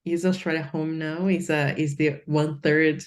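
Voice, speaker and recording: Slow, low-voiced woman mentor, meandering. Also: steady tone. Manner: meandering questions with many fillers and restarts. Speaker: career mentor and host. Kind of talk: interview questions.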